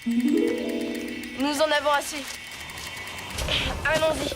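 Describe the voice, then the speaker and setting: Loud music backs a very high young boy's voice. The cartoon hero is plucky and kind, earnest and spirited. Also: fairly even intonation, very slow. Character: plucky, kind young boy who talks with animals. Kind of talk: animated cartoon dialogue. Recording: music behind the voice.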